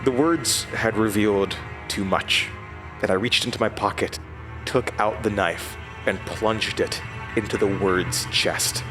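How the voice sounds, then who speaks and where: A man's voice: fairly low pitch, a little slow, lightly acted, poor audio. A man playing a troubled, determined radio host in a horror audio drama, intense and terse. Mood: grim narration. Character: troubled, resolute male radio host confronting his tormentor. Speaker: lead actor and creator. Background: music.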